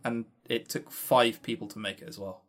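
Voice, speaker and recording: A man's voice: on the low side, fairly even intonation, moderate pace, a bit bright. A man co-hosting a podcast in casual review chat, dryly sarcastic and often repeating words as he starts a sentence. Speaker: podcast co-host.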